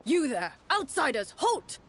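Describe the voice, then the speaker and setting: A woman's voice: high-pitched, sing-song, measured pace, voice pushed up, heavily acted. Woman putting on an imperious guard captain, raised voice. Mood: barking. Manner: barking, imperious. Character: suspicious, gruff, commanding male captain of the guard. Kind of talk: tabletop role-play inside a video game.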